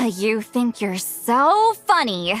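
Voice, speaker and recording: A woman's voice: on the high side, sing-song, very slow, a bit bright, faint music behind, heavily acted. An actress playing a grumpy, put-upon young woman in a video game, with a dry, exasperated delivery.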